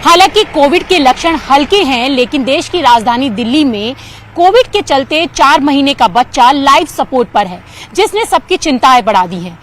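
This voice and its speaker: High-voiced woman TV reporter. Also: animated, normal speed. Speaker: TV news reporter. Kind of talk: field reporting and interviewing.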